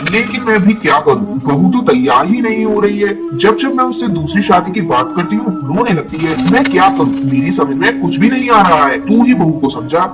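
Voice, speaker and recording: A man's voice: high-pitched, expressive, moderate pace, few pauses, far from the mic, over loud music. A man playing a worried, protective older female bird, a mother-in-law in a cartoon, speaking insistently and fretfully. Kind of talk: Hindi cartoon dialogue.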